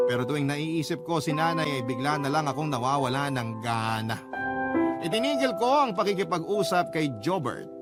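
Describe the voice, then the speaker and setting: Male radio-drama narrator, fairly high register, scripted confessional storytelling over prominent music. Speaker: narrator reading a listener's love story. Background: music under the voice.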